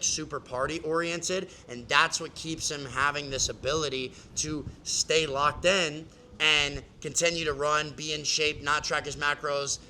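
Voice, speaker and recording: A man talks a client through his strategy in a loose, emphatic coaching style, leaning on "like" and quick restarts. His voice is very bright and sits a little high. There's a faint hiss of noise underneath. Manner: casual, filler-heavy, emphatic explaining. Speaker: business coach. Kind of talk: live coaching session.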